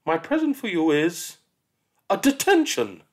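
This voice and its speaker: Man doing a shrill furious old headmistress. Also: wildly swooping pitch, unhurried, exaggerated voice. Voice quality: shrill, bellowing. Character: furious elderly female headmistress, cruel and shrill. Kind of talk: audiobook character voice.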